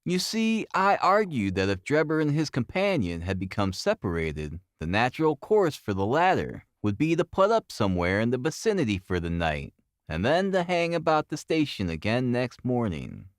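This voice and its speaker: An earnest, methodical Victorian police detective , voiced by a male audiobook reader, delivers a formal report. His pitch rises and dips expressively, in a dry, close recording. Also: huge pitch swings, no room sound. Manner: formal, deliberate storytelling.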